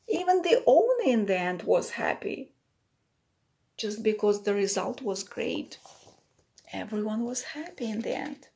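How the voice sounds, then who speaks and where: A woman's voice: fairly high pitch, gravelly, dark tone. A woman in her thirties or forties reading a picture book to children as a teacher, with simple explanatory asides.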